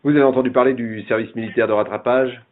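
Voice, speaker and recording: A man's voice: medium pitch, steady tone, fast, close to an everyday voice. A man voicing a pompous, bullying army captain who lectures sternly and condescendingly in a prank phone call. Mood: officious, condescending. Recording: tinny, narrow phone line.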